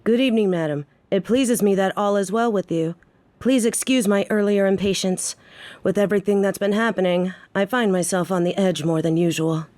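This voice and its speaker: A woman's voice: medium pitch, fairly even intonation, moderate pace, a little mellow, dry recording, close to an everyday voice. A woman playing a menacing, short-tempered yet protective female mafia boss in a scripted audio roleplay, with a theatrical, brooding delivery. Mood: polite, composed.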